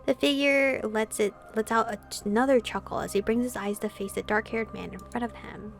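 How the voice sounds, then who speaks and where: A woman's voice: a little high, fairly even intonation, a little fast, gravelly. A woman playing a visual novel, reading the narration aloud and reacting expressively as a streamer. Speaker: gaming streamer. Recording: game music behind the voice. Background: game music.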